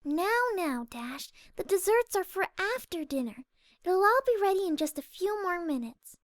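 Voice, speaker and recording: Very high, sweet shy pony girl. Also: sing-song, normal speed, roomy sound. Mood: gently caring. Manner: soft, sweet, hesitant self-questioning. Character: shy, gentle young female pegasus pony, fretful and caring. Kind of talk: cartoon character in audiobook reading.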